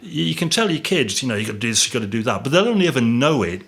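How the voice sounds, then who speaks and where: A man's voice: medium pitch, big pitch swings, very fast, creaky, a bit bright. A middle-aged man from England, a drummer and music teacher, chatting casually from home with rambling, self-deprecating asides and frequent restarts. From Yorkshire, England. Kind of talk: casual interview chat. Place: at home on a sofa.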